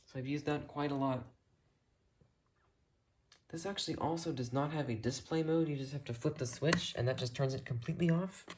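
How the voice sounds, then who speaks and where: An American hobbyist with a mid-pitched voice, giving a casual, enthusiastic and detailed rambling tour of a collection as the video's host. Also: fairly quick, noisy background.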